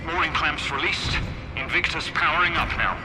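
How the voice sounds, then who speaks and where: Man playing a devout sci-fi game commander, fairly high voice, formal, urgent, loud music behind. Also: normal speed, lightly acted, rough recording. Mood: dutiful. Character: earnest, devout male war-machine commander, anxious yet dutiful. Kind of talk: dramatic video game acting.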